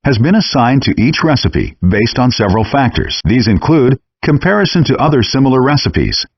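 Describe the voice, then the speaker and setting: A man reading scripted cooking-game instructions in a measured, clearly enunciated, explanatory way, in dull compressed audio. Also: mid-range voice, big pitch swings, dry recording.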